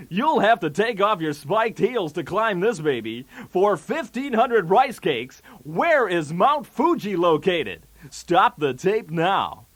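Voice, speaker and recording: A man's voice: high-pitched, fairly bright tone, full character voice. A man playing a brash, wisecracking 1980s TV game show host with showy, punchy patter. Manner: showy, jokey, with punchy delivery of gags.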